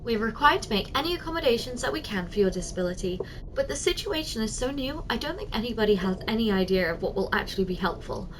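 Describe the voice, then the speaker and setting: A woman playing a giant, doting, teasing office secretary in an ASMR roleplay, speaking softly and coaxingly straight to the listener. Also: fairly high pitch, expressive, fast, background noise, close to an everyday voice. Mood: helpful, attentive. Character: giant, doting, teasing female office secretary, tender toward tiny boss.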